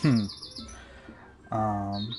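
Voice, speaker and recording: A game master casually narrating a tabletop game in a halting, roundabout way. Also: mid-range voice, flat delivery, music in the background.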